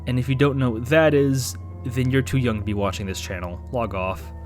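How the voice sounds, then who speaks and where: A man's voice: mid-range voice, brisk, soft background music. Wry young man narrating a video essay. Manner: wry, sarcastic asides. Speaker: YouTube commentator.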